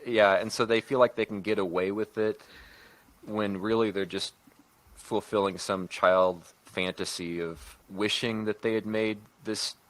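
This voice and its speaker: Very deep-voiced man in casual discussion. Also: steady tone, measured pace, dry recording, poor audio. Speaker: podcast host and writer-producer.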